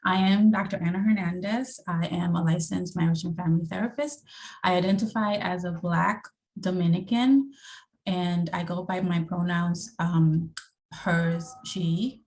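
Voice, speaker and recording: A woman from the Bronx, a family therapist, introducing herself on a video call in a measured way. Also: fairly low pitch, fairly even intonation, darkish tone. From Bronx, New York. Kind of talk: casual self-introduction.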